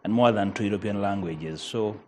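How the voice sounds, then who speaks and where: A Ugandan man whose first language is Luganda, a member of parliament arguing in a TV panel debate, measured and emphatic. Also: lowish voice, a little mellow, a little hiss or hum. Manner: measured, emphatic, rising on key points.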